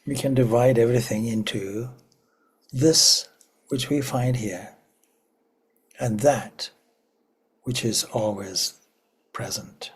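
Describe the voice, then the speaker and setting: A man leading a guided meditation in a slow, prayerful way, letting phrases trail off into soft whispers. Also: mid-range voice, slightly rough. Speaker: meditation teacher.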